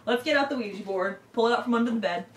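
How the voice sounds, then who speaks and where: A woman with a slightly high voice chats playfully as she makes up a story for her channel. She sounds halting and silly. The room is echoey, with faint noise behind her. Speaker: co-host of a YouTube channel. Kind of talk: casual improvised storytelling chat. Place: indoors, in a room set up for filming. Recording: roomy, distant mic, faint noise.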